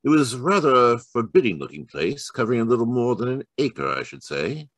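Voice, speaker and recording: Man playing a husky, expressive Victorian storyteller. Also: mid-range voice, brisk, dry recording. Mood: grave, reflective. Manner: formal, storytelling. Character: measured Victorian doctor recounting a mystery to a friend. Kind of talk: old-time radio mystery narration.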